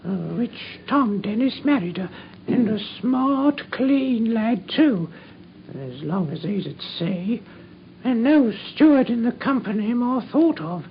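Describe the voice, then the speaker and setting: A woman's voice: a little high, lively intonation, slow pace. An actress playing a feeble, anxious old working-class woman in a radio drama, rambling on in a wheedling, deferential way. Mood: weary, rambling. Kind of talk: British radio drama acting. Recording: dull radio drama recording with noise.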